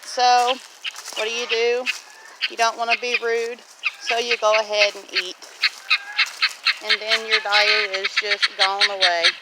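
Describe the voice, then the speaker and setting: Unhurried middle-aged woman over loud background noise. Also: mid-range voice, little pitch movement. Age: about 50. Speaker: homestead vlog host. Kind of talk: casual walk-and-talk vlog. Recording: loud outdoor noise, clicks, honking geese.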